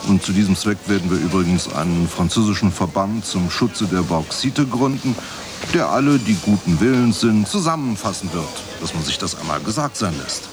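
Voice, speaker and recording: A self-important association president, lectures in a radio-play interview. His low voice is pompous and patronizing, heard over clear background noise. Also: expressive, moderate pace. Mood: smug. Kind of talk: radio drama interview answers.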